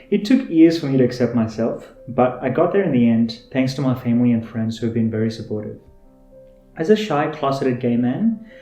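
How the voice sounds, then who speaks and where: A man's voice: mid-range voice, warm tone, echoey room. A man in his thirties from India, a native Tamil speaker, earnestly reading a scripted self-introduction as podcast host.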